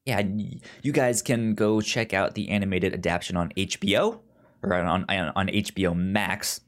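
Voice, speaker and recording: A man hosting a podcast in casual chat, with a halting delivery full of stammered restarts. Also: deep voice, fairly even intonation. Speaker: podcast host. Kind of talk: casual chat about comics and film.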